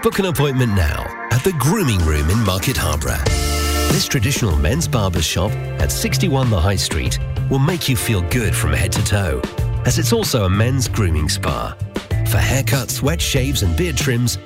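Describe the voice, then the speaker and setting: A man voicing a scripted radio advert in a smooth, persuasive tone. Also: lowish voice. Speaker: advert voice-over. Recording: loud music bed behind voice.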